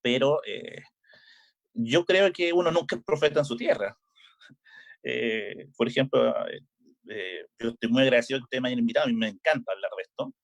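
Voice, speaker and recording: Middle-aged Chilean coach, speaking in a relaxed interview, halting and full of fillers. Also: male voice, mid-range voice, vocal fry. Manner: hesitant, many filler "eh"s and restarts. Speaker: volleyball coach.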